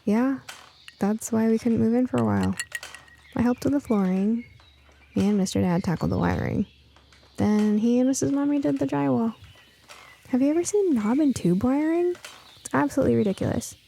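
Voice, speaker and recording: Woman voicing an excitable, curious young girl, higher pitched. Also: normal speed, vocal fry, pauses often, slight background noise. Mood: chatty, emphatic. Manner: chatty, earnest, eager.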